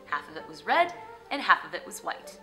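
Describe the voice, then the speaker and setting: A woman's voice: fairly low pitch, very animated, fairly quick, bright, forceful tone, soft background music. A woman hosting and narrating fairy tales, addressing viewers in a playful, theatrical way. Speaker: host of a fairy tale web show. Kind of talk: scripted storytelling narration to viewers.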